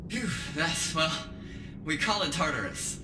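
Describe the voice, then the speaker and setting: An actor playing a brash, sardonic cyberpunk hacker guide, talking casually in an audio drama. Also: male voice, on the high side, very animated, unhurried. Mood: wry, explaining. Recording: echoey space, very loud music. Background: loud music.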